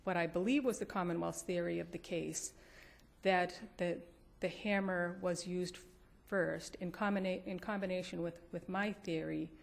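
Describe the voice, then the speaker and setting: A woman's voice: fairly low pitch, fairly even intonation, moderate pace, vocal fry. A woman defense attorney giving an appellate oral argument in a courtroom. She is careful and hesitant and often restarts her phrases.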